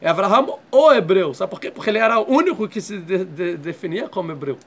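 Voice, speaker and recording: High-voiced man teaching a class. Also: animated, fast talker. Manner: explains step by step, checks in with "tá?". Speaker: Torah teacher. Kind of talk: classroom lecture.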